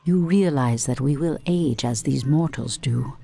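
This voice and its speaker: A woman playing an ancient, proud night elf priestess with a regal, measured delivery in a game cinematic. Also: extremely low pitch, creaky, warm tone, slight background noise, heavily acted. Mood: grave, concerned. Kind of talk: dramatic game cinematic acting.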